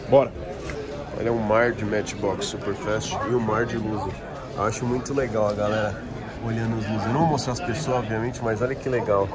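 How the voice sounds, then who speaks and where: A Brazilian man with a Brazilian Portuguese accent, chatting informally and in slang as he gives a casual walk-around vlog commentary. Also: medium pitch. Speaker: toy collector and vlogger. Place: busy toy convention, recorded on the move. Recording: busy crowd noise of a convention. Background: crowd chatter.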